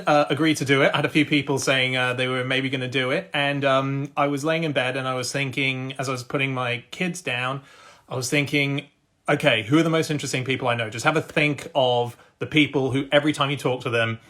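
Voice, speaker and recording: A man hosting a casual online chat show, talking warmly and hesitantly with lots of ums and uhs over a home video call. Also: mid-range voice. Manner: chatty, hesitant with many fillers. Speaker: host.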